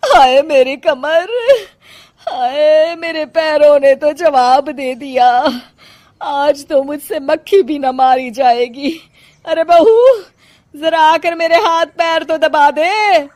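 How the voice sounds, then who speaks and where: A fussy middle-aged city mother-in-law , voiced by a woman, complains and moans in a theatrical way in a cartoon story. She talks slowly in a fairly high voice. It is recorded dry and close to the mic. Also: darkish tone, no room sound. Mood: whiny.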